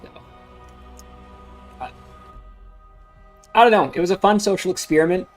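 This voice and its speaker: A man's voice: high voice, moderate pace. Chatty man over music. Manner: chatty, rambling, frequent uh fillers. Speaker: crypto chart streamer. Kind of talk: casual livestream chart commentary. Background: music playing.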